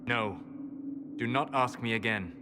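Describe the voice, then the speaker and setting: An actor voicing a grave, distrustful elf in a fantasy game, speaking in solemn, clipped, scornful phrases. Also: male voice, mid-range voice, measured pace, noisy background, close to an everyday voice. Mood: stern. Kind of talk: dramatic fantasy game dialogue. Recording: music behind voice.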